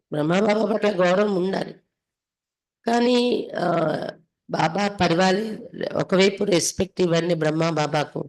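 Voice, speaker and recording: A woman's voice: fairly low pitch, darkish tone. A woman from India, a spiritual teacher reading and explaining devotional text in an instructive way, repeating for emphasis. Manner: instructive, with emphatic repetition and rhetorical questions.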